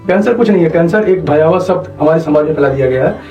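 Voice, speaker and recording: A man's voice: a little high, quick pace, darkish tone. A man explains medical matters didactically as an interview guest, repeating points and checking that he is understood. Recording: dull, echoey, distant mic, faint music behind.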